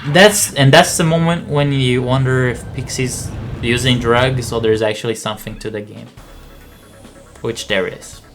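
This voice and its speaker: Male gaming commentator, average pitch, informal and explanatory, talking over prominent game music. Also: fairly even intonation, moderate pace. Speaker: video game player and commentator. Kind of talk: casual gameplay commentary. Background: game music and aircraft sounds.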